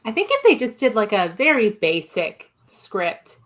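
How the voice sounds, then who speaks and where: A woman's voice: mid-range voice, very animated, unhurried. A woman talking casually and informally as a guest on a podcast. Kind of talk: casual chat.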